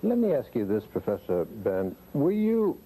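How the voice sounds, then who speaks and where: A man's voice: medium pitch, huge pitch swings, normal speed, warm tone, husky. A man hosting a panel interview, putting short, probing questions to his guests. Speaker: interviewer, host. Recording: muffled, noisy old radio-style recording.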